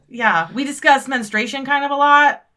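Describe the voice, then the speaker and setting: A woman in her thirties co-hosting a podcast, chatting in a blunt, brash way with plenty of swearing and quick laughs. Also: sits high, pushed and bright. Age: 30s. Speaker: podcast co-host. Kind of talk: casual chat.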